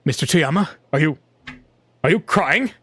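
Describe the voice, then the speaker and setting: A male narrator voicing an impatient, brash adult man in a storybook reading, with a loud, raised, strained voice. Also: high voice, big pitch swings, fairly quick, no echo, pitched above the performer's own voice, exaggerated voice. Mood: impatient, alarmed.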